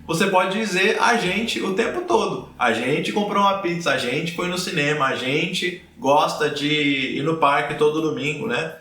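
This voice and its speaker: A Brazilian man with a Brazilian Portuguese accent, teaching a casual lesson to learners in a chatty way, repeating words and checking in with quick tag questions. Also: on the high side, sharp tone, roomy sound, faint music behind. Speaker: language teacher.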